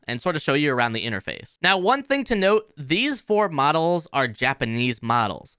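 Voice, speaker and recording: Casting: male tutorial host, crisp clear voice, animated intonation, informal explainer style, dry close sound. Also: fairly high pitch, no room sound. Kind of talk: casual software tutorial narration.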